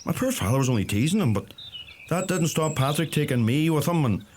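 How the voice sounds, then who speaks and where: An actor playing a young, dry-humoured Donegal farmer in a radio play, understated and colloquial in manner. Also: male voice, fairly high pitch, expressive, fairly quick, darkish tone, a little hiss or hum, close to an everyday voice. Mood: wistful, reminiscing. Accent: Donegal Irish. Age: young adult.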